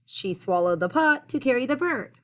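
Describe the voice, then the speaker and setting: A woman teacher reading a picture book aloud to young children in an expressive, sing-song, encouraging way. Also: fairly high pitch, normal speed. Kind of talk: children's story read-aloud, addressing young listeners.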